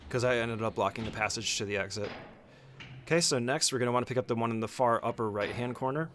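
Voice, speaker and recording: A man's voice: medium pitch, fast, a bit bright, slight background noise. A man giving a casual, step-by-step speedrun tutorial as commentary, speaking informally and giving short instructions.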